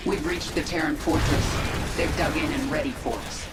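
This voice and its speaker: Game lead, female actor: ruthless swarm queen, measured authority, echoing voice over music. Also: sits low, steady tone, natural voice. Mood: commanding. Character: commanding alien swarm queen, cool and ruthless. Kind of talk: video game voice acting.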